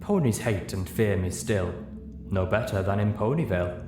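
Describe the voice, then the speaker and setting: A man voicing a gentle, disappointed female zebra who speaks in measured, exotic rhyme for a story reading. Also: on the low side, lively intonation, lightly acted. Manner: poetic, rhyming, measured. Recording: roomy, clearly noisy home recording.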